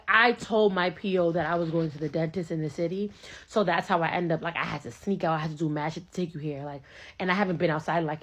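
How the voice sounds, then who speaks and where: A woman's voice: on the low side, breathy edge. Chatty New woman telling a story. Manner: animated, slangy, acts out both sides of dialogue. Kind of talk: casual storytelling.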